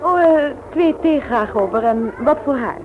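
Inquisitive young woman, very high animated voice, chatty, tinny vintage radio recording. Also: a little slow, over music. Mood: curious. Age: young adult. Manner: gushing, inquisitive. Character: chatty, curious, slightly vain young woman friend. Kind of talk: vintage Dutch radio play acting.

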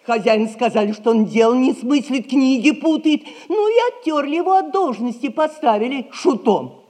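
A woman's voice: sits high, expressive, moderate pace, mellow. An actress playing a meek, worried townswoman who laments in folksy fashion in a theatrical 1960s audio play. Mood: plaintive. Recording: reverberant hall recording.

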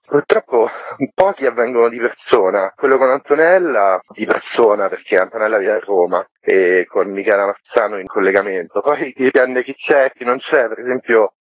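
A man's voice: lowish voice, moderate pace, dry recording. A man being interviewed on the radio, explaining things in a colloquial, wry, self-deprecating way. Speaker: interview guest, book series curator. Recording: muffled, lo-fi remote radio link.

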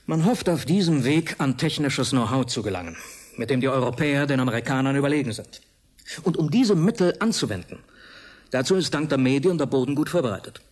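An actor playing a grave, alarmed older professor in a German radio drama, lecturing emphatically. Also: male voice, mid-range voice, animated, a little fast, close to an everyday voice. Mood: grave, insistent. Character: grave, alarmed male professor warning of war.

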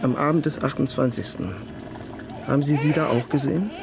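An actor playing a matter-of-fact police detective questioning people methodically in a radio crime drama. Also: male voice, mid-range voice, brisk, creaky, natural voice. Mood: businesslike, probing.

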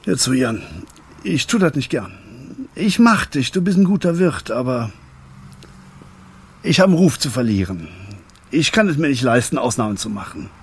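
A man playing a calm, paternal loan shark in a German radio drama, measured, condescending and politely threatening. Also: medium pitch, husky. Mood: regretful threat.

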